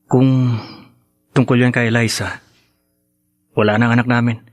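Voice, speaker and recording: A man's voice: mid-range voice, level tone, normal speed, no room sound, close to an everyday voice. An actor playing a weak-willed, guilt-ridden young man in an evasive radio drama style. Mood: defensive. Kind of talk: Filipino radio drama acting. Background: music in the background.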